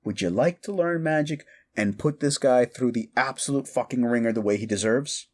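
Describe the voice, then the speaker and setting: A man's voice: medium pitch, normal speed, a little mellow, lightly acted. A man playing a quirky, mischievous necromancer in a comedic audio roleplay, rambling eagerly and casually with slangy stammers. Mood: persuasive, mischievous.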